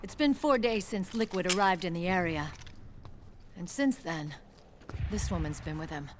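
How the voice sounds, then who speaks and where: A woman plays a hard-edged young squad commander in a video game, her voice on the low side. She is commanding, guarded and brisk, heard over clear background noise. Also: close to an everyday voice. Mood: businesslike. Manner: commanding, no-nonsense. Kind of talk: dramatic video game voice acting.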